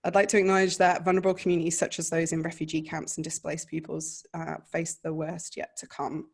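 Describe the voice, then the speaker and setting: A woman with a slight Australian accent speaking as a panelist, giving earnest, measured remarks on a video call. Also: low-pitched, little pitch movement, vocal fry, husky.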